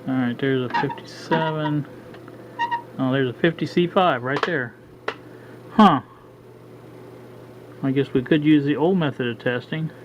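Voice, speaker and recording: Man thinking aloud over muffled noise. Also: medium pitch. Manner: halting, thinks aloud with frequent uh. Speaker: hobbyist radio restorer. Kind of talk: casual hands-on demo narration. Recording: dull lo-fi with steady background noise.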